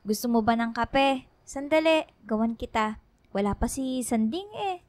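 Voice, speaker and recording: A woman's voice: a little high, normal speed, darkish tone, no room sound, natural voice. A woman acting a caring, fussing wife and mother in a radio drama, attentive and affectionate, with a Filipino accent. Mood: cheerful, caring. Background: background music.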